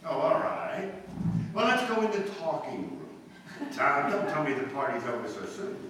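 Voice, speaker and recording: An actor playing a dry, easygoing gentleman in a live radio drama, with a wry, understated delivery. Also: fairly high pitch, sing-song, moderate pace. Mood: dry, amused. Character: C K Dexter Haven, dry, easygoing gentleman host. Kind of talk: live old-time radio stage acting. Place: live stage performance in a hall. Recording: very echoey hall, distant microphone, background noise.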